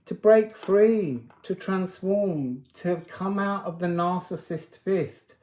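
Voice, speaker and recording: A man with a mellow voice gives a spiritual card reading in an echoey room. He speaks very slowly and musingly, wandering from one thought to the next without a script. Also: sits high. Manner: musing, meandering, drifting between thoughts. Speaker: oracle card reader and host.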